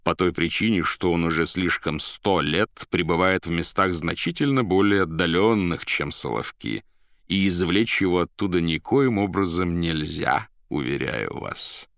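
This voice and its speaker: Man voicing a slow, theatrical, sly foreign gentleman. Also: deep voice, animated, clear, resonant tone, dry recording. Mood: animated. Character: mysterious foreign gentleman, polite, theatrical, slyly menacing.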